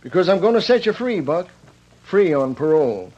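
An earnest, fair-minded frontier prison warden in a vintage radio Western. The voice is fairly high for a man, official and deliberate, with dull, narrow old-time sound. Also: very animated, darkish tone. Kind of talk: 1940s-1950s Western radio drama acting.

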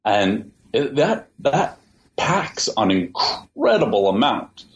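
A man answering interview questions at length, in a measured way, often repeating words as he thinks. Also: medium pitch, big pitch swings. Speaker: interview guest, translator. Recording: dull lo-fi sound, faint hiss.

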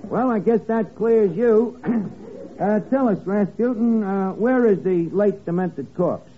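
An actor playing an eager, dim detective's sidekick in a 1950s radio comedy spoof, narrating with deadpan mock drama. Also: male voice, high voice, slow pace. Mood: earnest questioning. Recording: tinny, band-limited old radio recording with hiss.

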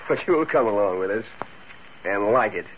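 A man's voice: lowish voice, animated, moderate pace, close to an everyday voice. Man playing a bossy gangster on tinny old radio. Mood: cocky, commanding. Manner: commanding, brusque. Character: bossy, scheming gangster leader posing as a cop. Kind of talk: 1940s radio acting. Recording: muffled, noisy vintage radio recording.

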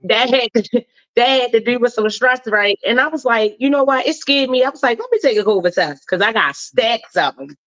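A woman's voice: on the high side, lively intonation, a little fast, a bit bright, highs cut off. Slangy, emphatic woman talk-show host. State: a little stuffed up. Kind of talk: casual live-show chat and commentary.

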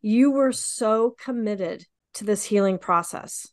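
A woman's voice: medium pitch, slow. A woman hosting a podcast interview, affirming and encouraging her guest. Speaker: podcast host. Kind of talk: interview conversation.

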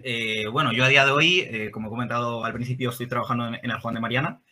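Bright-voiced man explaining. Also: medium pitch, fairly even intonation, quick pace. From Spain. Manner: explanatory, many "eh" fillers. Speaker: guest, think-tank worker. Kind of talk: interview answers.

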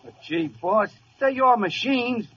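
Deferential male henchman, high animated voice, gangland sidekick, vintage radio comedy. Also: takes it slowly, full character voice. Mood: protesting. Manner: deferential, protesting sidekick talk. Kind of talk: 1940s radio comedy acting. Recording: muffled, hissy old radio broadcast.

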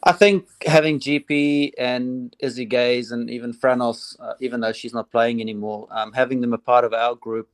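A South African man talks in a relaxed, halting way, filling his pauses with ums. His voice stays level with little rise and fall. The sound is dry and close, with no room around it. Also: mid-range voice, no room sound. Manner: relaxed, hesitant with frequent ums. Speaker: assistant cricket coach. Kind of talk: phone interview.

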